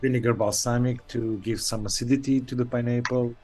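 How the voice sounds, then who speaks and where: A man's voice: mid-range voice, steady tone, a little fast, a little mellow, soft background music. A man from Portugal, a guest trader and chef, chatting casually and searching for words. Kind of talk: casual chat.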